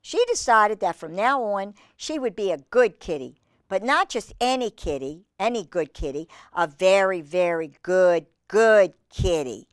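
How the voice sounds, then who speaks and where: A woman hosting a children's storytime, reading aloud with drawn-out emphasis and chatting in asides to young listeners. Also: mid-range voice, expressive, no room sound, clean studio sound. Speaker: host reading picture books to children.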